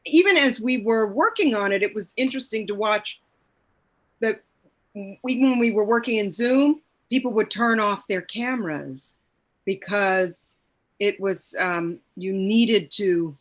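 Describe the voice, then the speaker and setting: A woman speaking as an actor reflecting in casual discussion, deliberate, pausing to search for her words. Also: medium pitch.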